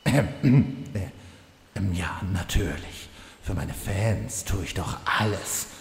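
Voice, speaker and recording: A man playing a vain, gloating villain demon hunter with a deep gravelly voice in a German fantasy parody audio drama. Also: takes it slowly, heavily acted. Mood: flustered, vain. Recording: echoey reverberant hall.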